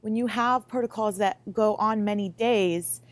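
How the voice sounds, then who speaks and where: Articulate young American woman, mid-pitched. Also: unhurried, no echo. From Lithuania, moved to the US Midwest at about eight. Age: late 20s. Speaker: MD-PhD student researcher. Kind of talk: interview answers to camera. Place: laboratory, indoors. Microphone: lapel mic.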